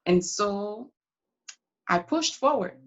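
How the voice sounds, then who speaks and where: A woman playing a proud, determined pioneering congresswoman from Brooklyn, answering questions in character with deliberate, reflective phrasing broken by pauses. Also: medium pitch, expressive, highs cut off. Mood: resolute, earnest. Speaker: historical reenactor taking audience questions.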